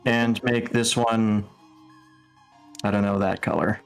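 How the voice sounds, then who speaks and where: A man acts as game master for a tabletop session, speaking slowly and deliberately as he sets the scene. Music plays behind his voice. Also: on the low side, flat delivery, clear, resonant tone. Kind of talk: narrating an online tabletop game.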